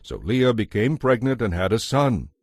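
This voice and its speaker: A man narrating scripture as a dramatized story, in an expressive, measured way. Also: fairly low pitch, no room sound, clean studio sound.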